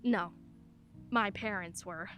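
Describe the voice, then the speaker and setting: A woman's voice: medium pitch, slow pace, a bit bright, faint music behind. An actress playing a wry, candid single mother in her forties in a radio play, a lawyer who laughs easily while talking. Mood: conversational, wry.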